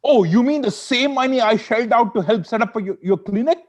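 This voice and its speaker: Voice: medium pitch, a little fast, muffled recording, lightly acted. A performer in an amateur table read playing a stressed, sweet-toothed lawyer husband who bickers, wheedles and pleads like he is in court. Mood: annoyed, defensive. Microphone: video call.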